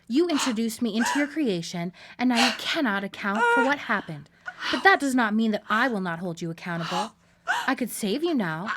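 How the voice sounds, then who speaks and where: A betrayed lab assistant back from the dead in a radio drama. Her voice is fairly high and very expressive, deliberate and coldly vengeful. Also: very noisy.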